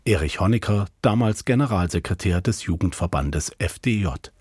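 Man, radio reporter, scripted narration, low register, dry close studio sound. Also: lively intonation, normal speed, a little mellow, husky, dry recording.

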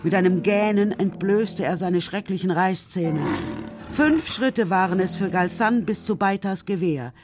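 A woman narrating a children's radio play in a measured, dramatic storytelling manner. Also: mid-range voice, over music. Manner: measured, dramatic literary reading.

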